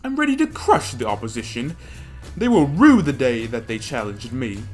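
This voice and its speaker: A man's voice: medium pitch, huge pitch swings, moderate pace, faint music behind. A man voicing a proud, competitive woman chef with a haughty, formal, grandiose manner.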